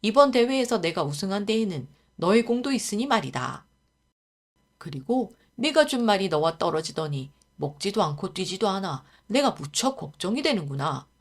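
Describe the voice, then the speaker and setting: A woman voicing a young prince who speaks calmly and graciously in a storybook reading. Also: mid-range voice, normal speed. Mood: kindly. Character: young male prince, calm and kindly commanding.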